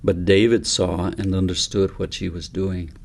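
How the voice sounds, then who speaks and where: Low, creaky-voiced man reading a story. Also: level tone, moderate pace, darkish tone. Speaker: author reading own book aloud. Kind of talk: audiobook narration of a children's story.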